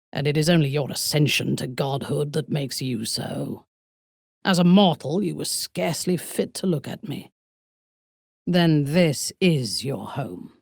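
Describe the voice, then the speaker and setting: A woman's voice: low voice, a little mellow, husky, dry recording, clean studio sound, full character voice. An actress playing a proud, ruthless exiled warrior woman in a video game, speaking formally with sardonic, imperious deliberation. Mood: haughty disdain. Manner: haughty, formal, deliberate phrasing. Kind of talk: video game character voice lines.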